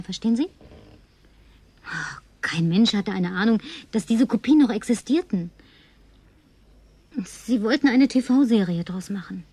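An actress playing a tipsy, flirtatious, lonely woman survivor in a radio drama, coquettish and slipping into breathy whispers. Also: mid-range voice, warm tone, no echo. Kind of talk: German radio drama acting.

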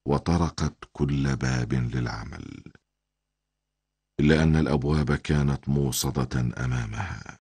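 Very deep, husky older male storyteller. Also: a little mellow, no echo. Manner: solemn, formal storytelling. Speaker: grandfather narrator. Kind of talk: scripted religious story narration for a cartoon.

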